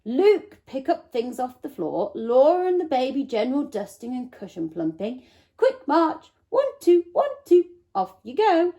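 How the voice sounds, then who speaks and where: A jolly, bossy father gives orders with plenty of bounce and a lively lift in his voice, voiced by a woman reading aloud. His tone is warm and slightly gravelly, with a relaxed, dark colour underneath. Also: high voice, a little slow, pitched above the performer's own voice, exaggerated voice. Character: cheerful bossy father taking charge.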